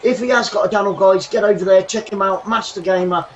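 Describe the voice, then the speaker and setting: A man hosting a live football watchalong from his bedroom, commentating the match and thanking viewers, getting excitable and shouting when chances come. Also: high-pitched, fast talker, dull audio, slight background noise. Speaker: watchalong host. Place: home bedroom.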